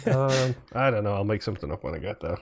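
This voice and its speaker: A man's voice: fairly low pitch, lively intonation, fast talker, slight background noise. A man chatting casually as a podcast co-host.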